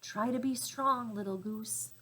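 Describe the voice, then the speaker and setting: A woman's voice: a little high, unhurried, noisy background, lightly acted. A woman voicing a tender, earnest young girl in a storybook, with an encouraging, hopeful manner. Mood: tender, hushed. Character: tender, earnest young girl who loves a lame goose. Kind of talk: storybook character voice.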